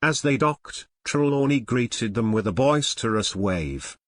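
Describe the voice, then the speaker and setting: A man narrates an audio drama from a script. His voice is mid-pitched and the recording is dry, with no room echo. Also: expressive, unhurried.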